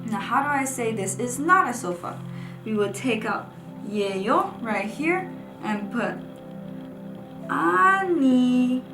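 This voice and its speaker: A young woman with a fairly high voice explains a lesson step by step, patient and clear as she points at her examples. The room rings with echo, and music plays behind her. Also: animated, unhurried. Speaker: language teacher. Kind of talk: scripted lesson narration.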